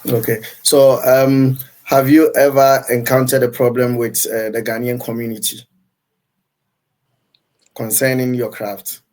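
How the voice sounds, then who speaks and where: A man interviews a musician and asks his questions in a level, even voice. He nods along with short agreements while his guest talks and keeps his tone flat and steady. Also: mid-range voice, unhurried, rough recording. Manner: attentive, frequent short agreements. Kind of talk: interview conversation.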